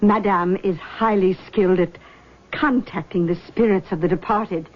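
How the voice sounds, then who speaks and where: A woman playing a doting, controlling middle-aged mother in a 1970s radio drama, with a husky voice and a coaxing manner. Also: mid-range voice, fairly even intonation, normal speed, lightly acted. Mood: persuasive. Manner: coaxing, cooing endearments. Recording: dull, lo-fi 1970s radio recording.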